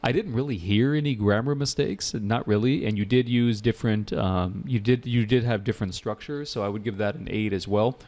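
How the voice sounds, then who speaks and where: A man's voice: on the low side, a little fast, hardly any pauses, darkish tone. A man with an American accent, an English exam teacher giving spoken feedback in a class, chatty and repeating himself to drive his points home. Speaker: IELTS teacher.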